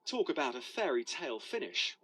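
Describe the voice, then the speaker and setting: A man's voice: on the high side, big pitch swings, fairly quick, a bit bright, husky. A man narrating a scripted television sports news report. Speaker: TV sports correspondent.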